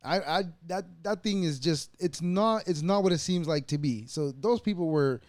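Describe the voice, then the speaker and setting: A man's voice: a little high, moderate pace, darkish tone, no room sound. A man from El Salvador hosting a casual interview, conversational with frequent restarts. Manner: conversational, restarts and laughs often. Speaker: podcast host.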